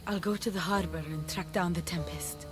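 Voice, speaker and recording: An actress playing a compassionate, determined young woman fighter in a video game, earnest and purposeful over soft dramatic music. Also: lowish voice, fairly even intonation, moderate pace, natural voice.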